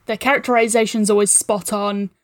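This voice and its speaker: A woman's voice: mid-range voice, level tone, brisk, no room sound, clean studio sound. A woman chatting as a podcast guest in a playful, wry and enthusiastic way. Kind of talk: casual chat.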